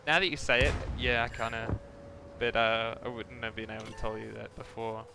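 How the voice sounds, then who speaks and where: Man, game streamer, mid-pitched, creaky voice, casual rambling chat, thinking aloud. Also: flat delivery, a little fast, music in the background. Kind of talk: casual chat while gaming, reading out chat. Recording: game battle noise behind voice. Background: game artillery fire and vehicle engine sounds.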